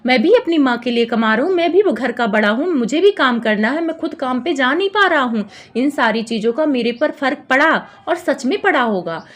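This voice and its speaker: A woman's voice: high voice, expressive, fairly quick, few pauses. A woman vlogger giving informal, opinionated commentary on other people's disputes, in an accusatory and repetitive way. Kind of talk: informal gossip commentary, recapping and judging a dispute.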